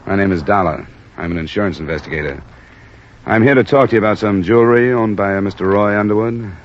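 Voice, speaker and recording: An actor playing a clipped, businesslike insurance investigator who narrates a 1950s radio drama. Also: male voice, lowish voice, fast talker, lightly acted. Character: hard-boiled male insurance investigator, narrator. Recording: muffled old radio broadcast with music.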